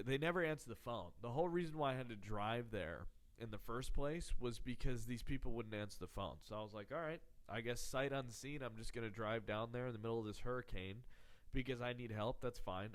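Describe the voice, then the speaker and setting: A man hosting his podcast in a rambling, profane, sarcastic monologue, his voice nasal and congested from illness. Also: mid-range voice. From Texas. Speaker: podcast host. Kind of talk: casual comedic monologue.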